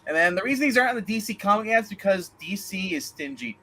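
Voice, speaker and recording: A man's voice: sits high, lively intonation, fast talker, bright, forceful tone. A man co-hosting a livestream panel in casual, joking chat. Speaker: co-host of a comics discussion show.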